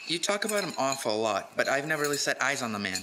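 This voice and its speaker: Woman playing a very deep-voiced jovial gentleman. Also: big pitch swings, fast talker. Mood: curious, chatty. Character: jovial, chatty gentleman dinner guest and friend. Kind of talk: live stage reading in radio-play style. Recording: noisy live stage pickup.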